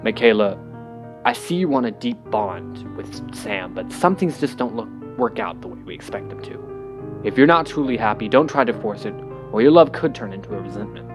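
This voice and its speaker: A man voicing a huge, gentle male robot who comforts in a soft, soothing way. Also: fairly low pitch, lively intonation, normal speed, over music, lightly acted.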